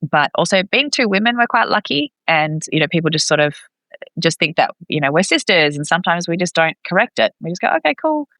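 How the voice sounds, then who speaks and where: A woman chatting casually as an interview guest, expressive and quick to act out dialogue as she tells stories. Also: fairly low pitch, no echo. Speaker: podcast guest, expat parent.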